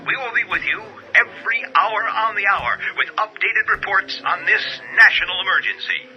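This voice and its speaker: An actor playing a formal radio news announcer in a radio drama, with a measured delivery. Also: male voice, high-pitched, soft background music, natural voice. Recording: muffled broadcast-radio sound.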